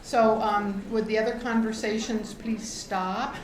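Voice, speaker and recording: A middle-aged woman giving a loud, grateful, enthusiastic speech through a microphone to open a membership meeting. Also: medium pitch, steady tone, unhurried. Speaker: outgoing board member opening a meeting. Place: event hall with an audience. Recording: echoey hall with a faint crowd.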